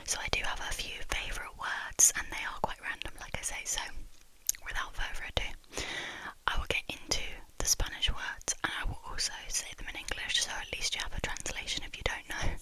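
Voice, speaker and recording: A young woman whispering into a close microphone for an ASMR video, softly repeating trigger words again and again and chatting with small giggles between them. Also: poor audio. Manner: soft, slow whisper that repeats words many times. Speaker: ASMR creator.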